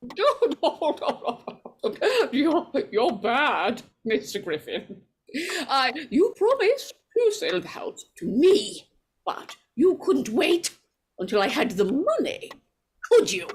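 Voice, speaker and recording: Actress as eccentric, obsessive woman for radio horror: high voice, halting slow delivery, big melodramatic swoops. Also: wildly swooping pitch, husky, full character voice. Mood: pleading, accusing. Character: strange, fretful, possessive woman with ominous intensity. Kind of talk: 1940s radio horror acting.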